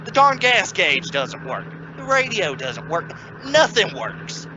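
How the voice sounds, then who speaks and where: A woman's voice: lowish voice, big pitch swings, slow pace. A woman playing an exasperated, stubborn family father in an old-time radio sitcom, blustering, sarcastic and prone to stammer when cornered. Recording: muffled recording with clear noise and vehicle sounds.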